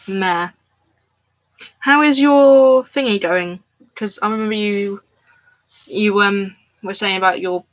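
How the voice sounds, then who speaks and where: Woman streaming from home, bright voice, loose rambling chat with viewers. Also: medium pitch, highs cut off. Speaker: crafts livestreamer. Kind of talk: casual live-stream chat. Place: at home, indoors.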